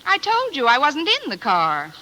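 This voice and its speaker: An actress playing a wry, sharp-tongued schoolteacher, delivering dry deadpan wisecracks in a 1950s radio comedy. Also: female voice, sits high, normal speed, exaggerated voice. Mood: wry, exasperated. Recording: noisy old radio recording.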